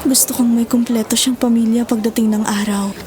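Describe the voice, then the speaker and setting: A Filipino woman playing a gentle, apologetic mother in an audio drama, speaking hesitantly and with emotion. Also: fairly high pitch, little pitch movement, fairly quick, a little hiss or hum, close to an everyday voice. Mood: pleading. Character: gentle remorseful mother who gives up her baby. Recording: dramatic music bed behind the voice.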